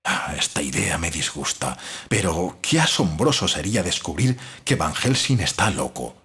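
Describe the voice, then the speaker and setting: A deep, very rough-edged doctor voiced by a man narrates his diary in an audio drama. His delivery swings expressively in pitch while staying formal, brooding and analytical. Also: big pitch swings, moderate pace, fairly bright tone, full character voice. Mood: doubting, brooding. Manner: formal, reflective.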